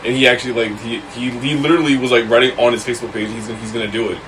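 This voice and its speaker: A man's voice: mid-range voice, level tone, very fast, a bit bright, recorded from a distance, slight background noise, rough recording. A man in his late twenties co-hosting a casual podcast, playful and teasing. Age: 20s. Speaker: podcast co-host. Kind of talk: casual chat.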